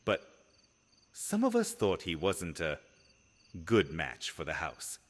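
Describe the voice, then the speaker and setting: An actor playing a concerned, reproving vampire confidant in an audio roleplay, measured and hushed, swelling into emphasis. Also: male voice, low-pitched, wildly swooping pitch, big-room sound, heavily acted. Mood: grave, hesitant. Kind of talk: dramatic audio roleplay acting.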